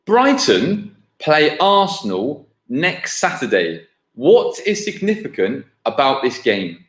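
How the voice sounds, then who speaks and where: A man's voice: mid-range voice, huge pitch swings, fairly bright tone. A man hosting a live-streamed football quiz, repeating questions and chatting casually with viewers. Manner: repeats each question slowly and clearly, chats to viewers. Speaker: quiz host. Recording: roomy, echoing home livestream sound.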